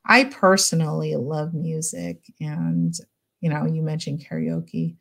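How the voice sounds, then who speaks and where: This is a middle-aged woman psychologist chatting as a podcast guest. Her voice sits a bit low, and her manner is gentle and relaxed. She wanders through thoughts with plenty of fillers and light laughter. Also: slow pace, creaky. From United States. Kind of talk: casual podcast interview.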